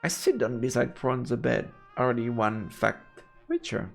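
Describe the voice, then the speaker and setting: A male streamer comments on a game and reads its text aloud with playful asides. His voice is creaky and his pitch jumps around freely. Faint game music runs underneath. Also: very animated, normal speed. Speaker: let's play streamer. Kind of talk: live game commentary, reading narration aloud.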